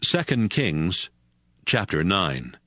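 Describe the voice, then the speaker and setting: A man's voice: low-pitched, sing-song, slow pace, fairly bright tone, dry recording, clean studio sound. A man reading scripted narration for a dramatized audio Bible. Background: music.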